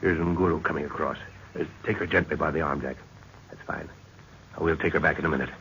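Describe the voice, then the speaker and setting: An actor playing an authoritative older expedition leader in an old radio drama, clipped and concerned, with old-fashioned exclamations. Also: male voice, rumbling low, fairly even intonation, fairly quick, a bit bright. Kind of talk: 1940s radio acting. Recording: muffled, noisy old radio recording.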